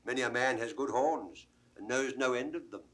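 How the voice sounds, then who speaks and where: An actor playing a witty, bawdy court jester with mock-pompous relish in a 1960s Shakespeare audio drama. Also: male voice, mid-range voice, normal speed, a bit bright, close to an everyday voice. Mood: musing. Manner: theatrical, wordplaying, mock-pompous.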